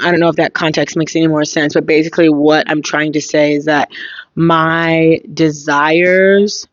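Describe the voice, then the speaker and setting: Young woman podcaster, a bit hoarse, slow reflective rambling, dry dull-ish audio. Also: sits low, no echo. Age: young adult. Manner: reflective, thinking aloud. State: slightly hoarse. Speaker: podcast host. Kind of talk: casual solo podcast monologue.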